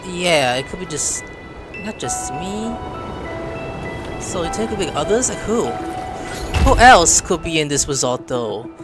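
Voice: mid-range voice, big pitch swings, a little slow, pauses often. A gamer giving casual, rambling, reactive let's-play commentary. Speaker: gaming video creator. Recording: loud game music behind voice.

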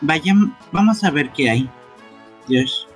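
A man with a husky voice reading game dialogue aloud as an amateur dubber on a stream. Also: fairly high pitch, animated, slow, soft background music.

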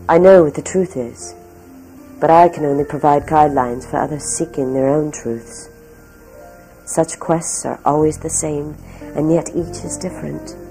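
A woman reading scripted documentary narration in a measured, solemn storytelling manner. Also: low-pitched, mellow, poor audio. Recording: music behind. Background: background music.

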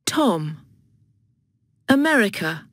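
A woman voicing a friendly young schoolboy in a textbook dialogue, speaking slowly and clearly. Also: mid-range voice, big pitch swings, no echo, studio quality. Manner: slow, clearly spoken greetings.

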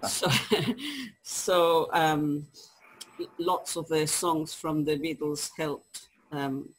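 Chilean woman on a video call, slow. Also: deep voice, breathy edge, poor audio. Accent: Chilean Spanish. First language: Spanish. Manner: hesitant, many ums and restarts, laughs easily. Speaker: guest, publisher. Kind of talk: conversational interview. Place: home study with bookshelves.

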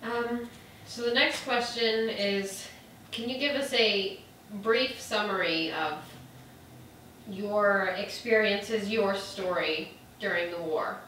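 A woman interviewer asking prepared questions in a gentle manner. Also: medium pitch, slow, slight background noise. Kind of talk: oral history interview. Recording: very echoey, off-mic from a distance.

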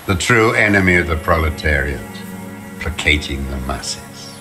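An actor playing a bitter, weary old communist deserter in a video game, ranting with disdain. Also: male voice, extremely low pitch, expressive. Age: older. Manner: embittered, ranting, slow deliberate delivery. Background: music.

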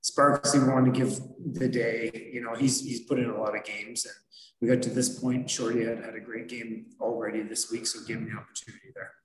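A middle-aged man, a hockey head coach, answering postgame questions over a video call in a measured, analytical way with frequent fillers. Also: medium pitch, fairly even intonation, crowd noise. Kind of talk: postgame press conference answers. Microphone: video call webcam. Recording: roomy echo.

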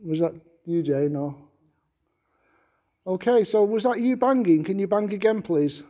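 A man's voice: high voice, expressive, unhurried, mellow. A man with a Manchester accent, a ghost hunter politely calling out to a spirit in a stone castle room at night. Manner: polite, coaxing, repeats requests with please. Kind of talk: paranormal investigation calling out to spirits.

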